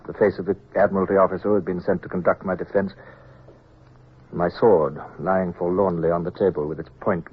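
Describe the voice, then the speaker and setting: Deep grave english sea captain telling his story, old dull radio sound, faint music. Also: breathy edge. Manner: measured, grave, literary. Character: weary, proud, reflective British naval captain recounting his past. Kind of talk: 1950s radio drama narration.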